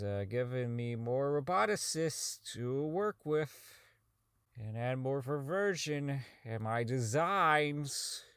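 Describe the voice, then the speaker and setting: A man playing a socially inept, bitter robotics engineer in an audio drama, rambling and stammering through profane log entries as he slowly comes unhinged. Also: mid-range voice, a bit bright, clean tone, no room sound, studio quality. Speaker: voice actor in a fan-made audio drama. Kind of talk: dramatic monologue as a recorded log.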